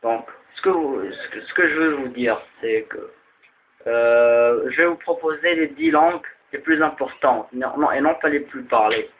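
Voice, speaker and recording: A man whose first language is Arabic explains a topic slowly and haltingly, stopping often to restart his sentences. The audio is thin and tinny, like a phone line, with a faint hiss of noise behind him. His voice sits at an ordinary middle pitch. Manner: hesitant, halting, with frequent restarts and fillers. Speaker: video presenter. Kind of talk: casual explanatory video talk.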